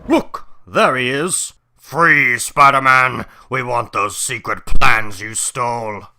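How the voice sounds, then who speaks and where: A man's voice: mid-range voice, fairly even intonation, takes it slowly, exaggerated voice. A man voicing a stern policeman who barks orders and accusations in a dramatic comic-book reading. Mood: accusing. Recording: roomy echo, loud music underneath.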